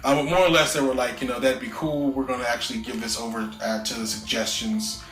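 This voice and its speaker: Male podcast co-host, bright forceful tone, rambling casual delivery, echoey room with faint music behind. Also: medium pitch, little pitch movement, fast. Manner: loose, rambling, frequent "you know" and restarts. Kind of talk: casual chat.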